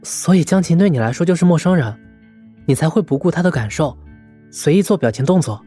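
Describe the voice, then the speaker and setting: A man's voice: fairly high pitch, animated, fairly quick, dark tone. A man playing an earnest, lovesick nice-guy college student in an audio drama. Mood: eager, relieved. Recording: faint music behind.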